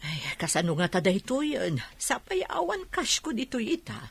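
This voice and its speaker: A woman's voice: fairly high pitch, very animated, normal speed, slightly rough, no room sound, exaggerated voice. A woman playing a scolding, frugal elder sister in an audio drama. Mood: reluctant, suspicious.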